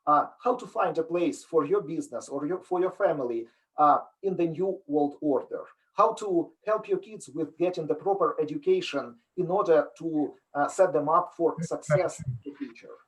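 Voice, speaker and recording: A middle-aged man with a Ukrainian accent lectures on business in an earnest, explanatory way. His voice sits fairly high for a man. He often restarts his phrases as he works through a point. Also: moderate pace, hardly any pauses, low-quality recording. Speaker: business professor giving a talk. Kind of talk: online lecture presentation.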